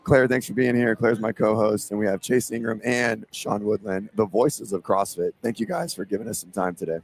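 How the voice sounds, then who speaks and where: A man hosting a podcast in a casual, easygoing way, his raspy voice hoarse and nearly gone. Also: fairly low pitch, brisk, faint music behind. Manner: easygoing, chatty. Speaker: podcast host. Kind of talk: casual interview chat. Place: noisy event venue. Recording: noisy live venue recording.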